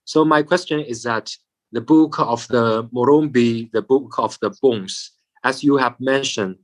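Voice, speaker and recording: A man's voice: medium pitch, poor audio. A man putting prepared questions to an author in an interview, setting them out methodically and rephrasing as he goes.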